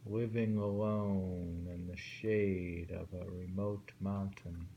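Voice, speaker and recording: Low gravelly guy reading old poems real slow, thinking out loud. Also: flat delivery, highs cut off, slight background noise. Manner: slow, musing, repeats each poem twice. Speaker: poetry reader. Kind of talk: reading poems aloud and discussing them.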